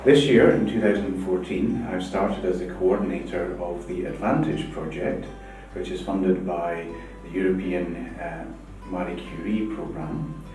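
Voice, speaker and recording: Deep-voiced professor in an echoey room. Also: male voice, fairly even intonation, unhurried, darkish tone. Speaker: professor of communications and project coordinator. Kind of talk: interview to camera. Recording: echoey room from far off with music behind.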